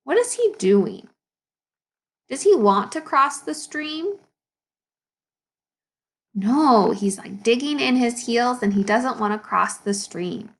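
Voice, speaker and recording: A woman speaking as an elementary school teacher, reading a children's picture book aloud in an expressive, instructive way and pausing to explain and ask questions. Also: on the high side.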